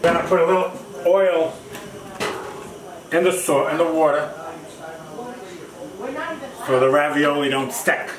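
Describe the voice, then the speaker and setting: A man's voice: fairly high pitch, measured pace, long pauses, a crowd in the background. A middle-aged man hosting a casual cooking demonstration in short, exclamatory remarks, recorded in a home kitchen. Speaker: cooking show host. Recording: roomy echoing kitchen, music behind.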